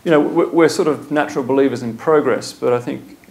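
A man's voice: mid-range voice, brisk, darkish tone. A man, a former diplomat speaking bluntly as a guest at a panel talk in a lecture room. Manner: blunt, opinionated, speaks in short punchy statements. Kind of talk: panel conversation. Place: lecture room with a seated audience.

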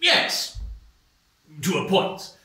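A man playing a smug, sharp intellectual. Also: drawn-out words, exaggerated voice. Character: smug male intellectual TV debater. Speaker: debate guest. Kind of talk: stage play acting. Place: small stone-walled theater stage. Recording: roomy, distant stage sound.

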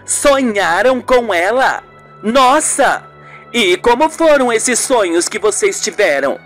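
A woman's voice: fairly high pitch, big pitch swings, slow, soft background music. A woman voicing an anguished, tearful aunt with startled exclamations in a radio soap. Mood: surprised, curious. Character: anguished, tearful aunt hiding a family secret. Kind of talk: amateur radio soap acting.

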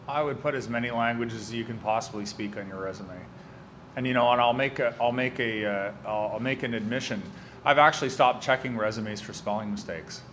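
A man's voice: medium pitch, a little fast, a bit bright, a little hiss or hum. A man in his thirties whose first language is French, answering student questions on a panel in a lecture room at a table mic, earnest and emphatic. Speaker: panelist, public servant. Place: university lecture room, indoors. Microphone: table microphone, seated.

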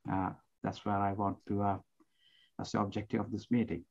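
Middle-aged man from Sri Lanka, interview guest, low-pitched, halting, muffled headset audio. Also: flat delivery, a little mellow. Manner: hesitant, many fillers and restarts. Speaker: guest accountant. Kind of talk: interview answers. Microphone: headset on video call.

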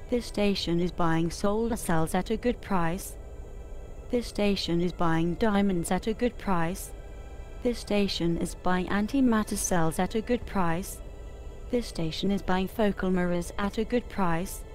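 A woman voicing a helpful spaceship computer assistant, making measured, informative scripted announcements for a game. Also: fairly low pitch, darkish tone, close to an everyday voice. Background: music behind the voice.

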